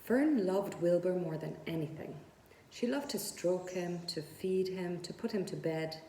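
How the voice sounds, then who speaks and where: A woman's voice: on the low side, a little slow, mellow, slight background noise. A woman narrating a children's book aloud as a storyteller. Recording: echoey room.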